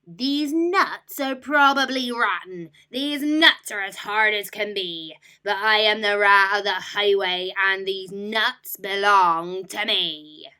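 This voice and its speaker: A scornful, bullying highwayman rat out of a children's storybook, acted out by a woman. He declaims in a sharp, forceful voice at an unhurried pace, greedy and used to giving orders. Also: clean tone. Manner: loud, imperious, declaiming. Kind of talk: storybook character voice.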